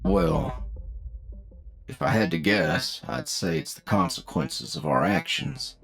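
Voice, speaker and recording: A man playing a gritty, steady leader of an adventuring party in an audio drama, laconic and folksy in his drawl, with a gravelly voice. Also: mid-range voice, takes it slowly, full character voice. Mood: wary. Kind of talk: anime-style audio roleplay acting. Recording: loud music over the voice.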